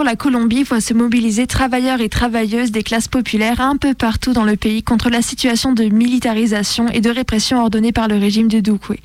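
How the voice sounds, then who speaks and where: Woman, higher pitch, dry close sound, scripted militant radio commentary. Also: dry recording. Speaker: radio show presenter. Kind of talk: scripted political commentary read aloud.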